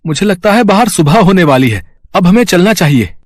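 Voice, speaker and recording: A bossy village farmer, played by a man, talks in a complaining, urgent way. His voice is fairly high and husky, recorded dry, in cartoon fable style. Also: animated, brisk, no echo. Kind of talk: animated moral story acting.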